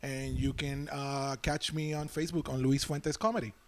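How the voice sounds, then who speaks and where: A man, a stand-up comedian telling a story in halting bits full of fillers during a casual panel chat. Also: mid-range voice, flat delivery. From Puerto Rico. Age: 30s.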